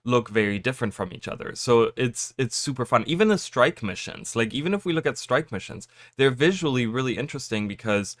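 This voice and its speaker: A man talking casually to his viewers, rambling with lots of fillers and restarts, his voice a little raspy from just waking up. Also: mid-range voice, lively intonation, fairly quick, hardly any pauses, fairly bright tone, no echo. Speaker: gaming YouTuber. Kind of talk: casual chat to camera.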